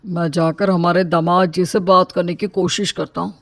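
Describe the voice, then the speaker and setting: A man plays a middle-aged father in an animated wedding story. His voice is high-pitched and close and dry, with a matter-of-fact urgency. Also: moderate pace, creaky, dry recording. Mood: brisk concern. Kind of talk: Hindi animated comic story dialogue.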